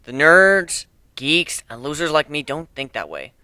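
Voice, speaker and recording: Man as a sardonic, bright-voiced teenage nerd. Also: fairly high pitch, big pitch swings, measured pace, smooth, dry recording, exaggerated voice. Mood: wry. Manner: sardonic, emphatic. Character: sarcastic, bitter bullied male teenage nerd narrator. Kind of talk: first-person dramatic story reading.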